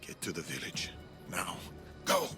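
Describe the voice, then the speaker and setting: An aging warrior and devoted father in a game drama. The delivery is earnest and protective, swinging widely in pitch, with prominent music behind it. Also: sing-song, measured pace. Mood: urgent. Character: grave, protective older warrior, father and grandfather. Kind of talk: dramatic video game acting.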